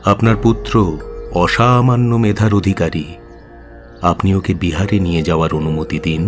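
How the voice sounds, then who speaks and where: A man playing a calm, learned Buddhist monk in an audio drama, speaking in a measured, formal and gentle way. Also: low voice, faint music behind, close to an everyday voice. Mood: calm, reassuring. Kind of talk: audio story acting in Bengali radio drama.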